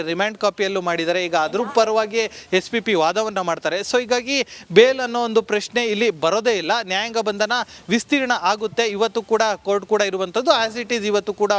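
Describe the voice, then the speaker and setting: A man's voice: sits high, a bit bright. A man reporting live for the news, explaining at length in a wordy, repetitive way.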